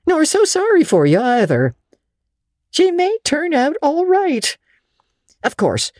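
A woman's voice: sits low, a little mellow, no echo, studio quality, exaggerated voice. A woman voicing a kindly but officious older country woman, folksy, hearty and outspoken, in a storybook reading. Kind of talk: dramatic audiobook reading.

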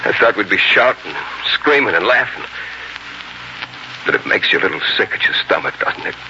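An actor playing an earnest young frontier farmer and soldier in dramatic 1940s radio style. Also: male voice, fairly low pitch, expressive, normal speed, pushed and bright, slight background noise. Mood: dazed, somber. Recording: dull 1940s radio recording, music behind.

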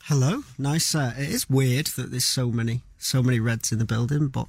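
A man's voice: mid-range voice, moderate pace, gravelly, darkish tone, slightly rough, no room sound. A man from North Manchester, co-hosting a football show in a laid-back way.

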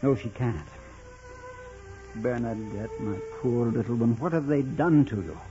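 A man's voice: medium pitch, measured pace, lightly acted. Actor as anguished father, muffled radio with music. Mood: tender, defiant. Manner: pleading, heartfelt. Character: anguished, protective humble peasant father. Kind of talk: 1940s-50s radio drama acting.

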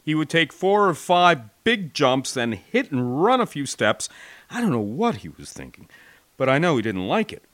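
An American man narrating a war memoir as an audiobook, with an expressive, wry, folksy storytelling delivery. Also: on the high side, normal speed, no echo. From United States.

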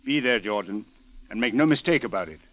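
An actor playing a stern, sardonic police official with a formal, admonishing manner in a 1940s radio drama. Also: male voice, fairly low pitch, animated, brisk, fairly bright tone, soft background music. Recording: dry, muffled old radio recording.

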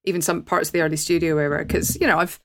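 Film journalist woman, lowish voice, chatty and self-deprecating. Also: level tone, fast talker. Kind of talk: casual chat.

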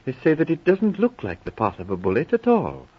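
A man's voice: on the high side, huge pitch swings, fairly quick, breathy edge, exaggerated voice. A man playing a polite doctor who explains police evidence carefully and hesitantly, in 1940s radio drama style. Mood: hesitant, explanatory. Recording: muffled old radio recording.

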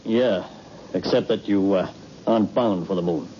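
An actor playing a stern military general, measured and authoritative, in a 1960s radio drama on an old, noisy recording. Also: male voice, low-pitched, warm tone, close to an everyday voice. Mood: firm. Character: stern, commanding male American military general. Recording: dull old recording with clear noise.

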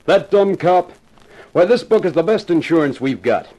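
A man's voice: a little high, expressive, normal speed, a little mellow, rough recording. A man acting a menacing, impatient gangster boss with a bossy, taunting manner in 1950s radio crime drama. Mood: smug, scornful.

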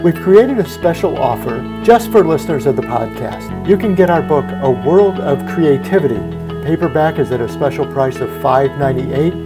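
A man's voice: mid-range voice, expressive, moderate pace, over music, rough recording. A man hosting a podcast interview, upbeat and promotional in tone. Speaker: podcast host.

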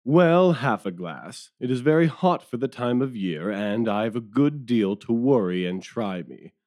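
A man's voice: mid-range voice, expressive, measured pace, darkish tone, close to an everyday voice. An actor playing a weary, deferential male police detective who talks in a rueful, wordy way in an audio drama. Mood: rueful, worried.

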